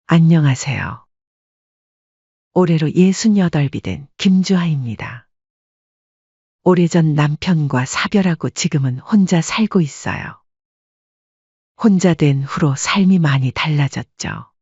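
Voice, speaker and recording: A woman's voice: low voice, animated, measured pace, pauses often, mellow, slightly rough, no echo, dull audio, full character voice. A woman playing a wistful widowed grandmother in her late sixties, narrating her life story from a script. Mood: wistful, aggrieved. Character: sorrowful 68-year-old widowed restaurant-owner grandmother.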